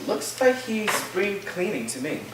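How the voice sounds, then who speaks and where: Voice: medium pitch, big pitch swings, measured pace. An actor playing an easygoing, enthusiastic college student in a staged play reading, casual and reassuring. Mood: casual, curious. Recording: echoey room from far off, noisy.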